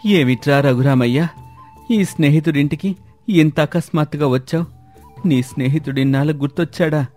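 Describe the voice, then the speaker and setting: A man's voice: a little high, normal speed, darkish tone, soft background music, close to an everyday voice. A man playing a jovial, familiar old friend in a dramatised village storybook tale. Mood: teasing, warm.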